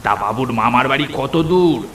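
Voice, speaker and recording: A man playing a folksy, deferential village tea-stall keeper in a horror cartoon, with a creaky voice. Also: mid-range voice, animated, moderate pace, a bit bright, echoey room, a little hiss or hum, natural voice. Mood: chatty, curious. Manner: folksy, deferential, stammers when afraid. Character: chatty village tea-stall keeper who turns frightened. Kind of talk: horror cartoon story acting.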